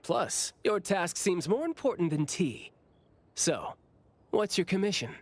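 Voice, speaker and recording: An actor playing a playful, teasing senior bureau officer in an anime game, with drawn-out, theatrical delivery. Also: mid-range voice, big pitch swings, no echo. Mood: playful, breezy.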